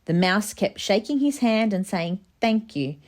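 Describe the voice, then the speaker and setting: A woman reading a children's storybook aloud, expressive and emphatic in her narration. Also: medium pitch, normal speed. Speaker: storybook reader.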